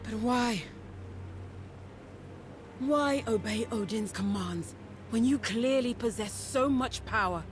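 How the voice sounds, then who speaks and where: A woman's voice: fairly high pitch, slow, pauses often, slight background noise. A woman playing a defiant, scornful rival warrior in a fantasy video game, with disdainful, theatrical delivery. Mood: mocking. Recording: loud orchestral music behind voice.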